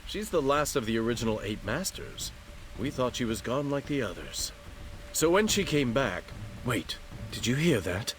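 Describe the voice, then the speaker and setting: Video game martial arts master, male, played by an actor: weary, guarded, earnest, very animated intonation. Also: mid-range voice, normal speed, soft background music, natural voice, poor audio. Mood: earnest, regretful. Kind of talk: dramatic game cutscene acting.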